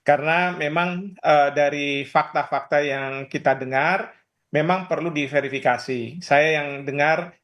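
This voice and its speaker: A man giving expert analysis in an interview, explaining in a halting way. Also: a little high, dull audio. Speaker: expert guest, professor.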